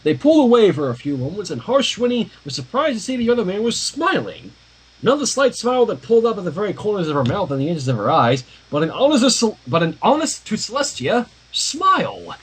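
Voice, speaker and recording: Man, high-pitched husky voice, animated story narration, dramatic delivery, livestream readaloud. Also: a little fast, poor audio. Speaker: fan fiction reader.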